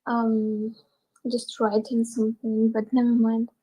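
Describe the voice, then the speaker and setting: Hesitant woman with a mellow voice. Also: a little high, completely flat delivery, unhurried, husky, poor audio. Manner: hesitant and listless, leaning on fillers. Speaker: online chat partner. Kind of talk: casual chat.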